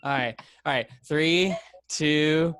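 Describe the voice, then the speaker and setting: A man's voice: a little high, slow, a bit bright, clean tone. A man, a voice actor, talking informally with fellow cast members on a panel. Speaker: volunteer audio drama voice actor. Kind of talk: casual panel chat.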